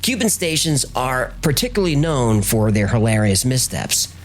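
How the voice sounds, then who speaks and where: A man's voice: medium pitch, sing-song, moderate pace, a little hiss or hum. A man narrating a scripted documentary in a conversational, explanatory way, posing rhetorical questions as host.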